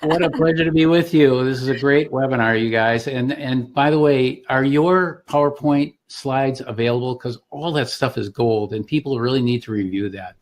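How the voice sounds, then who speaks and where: A man's voice: mid-range voice, moderate pace, few pauses. A man chatting casually and enthusiastically as a guest on a webinar. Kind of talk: casual chat.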